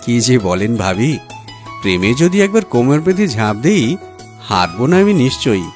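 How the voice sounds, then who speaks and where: A man's voice: medium pitch, big pitch swings, normal speed, a little mellow, lightly acted. A man voicing a playful, self-assured young poet-journalist in an audio story, bantering and boastful. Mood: playful boasting. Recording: music playing behind the voice.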